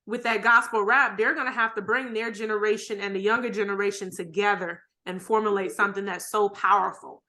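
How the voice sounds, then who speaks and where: A woman's voice: mid-range voice, steady tone, brisk, few pauses, bright, forceful tone. A woman hosting a podcast interview, chatting casually with enthusiastic exclamations and everyday slang. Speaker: podcast host.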